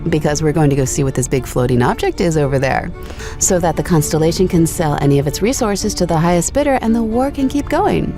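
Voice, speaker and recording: An actress playing an ambitious, excitable young female space explorer in a sci-fi audio drama, eager and wry. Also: low-pitched, quick pace, over music. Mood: distracted.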